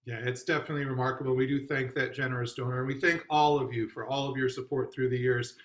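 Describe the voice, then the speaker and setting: A man's voice: medium pitch, little pitch movement, fast talker, fairly bright tone, roomy sound. A man hosting a charity fundraising livestream, chatty and upbeat, with fillers and restarts. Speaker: host.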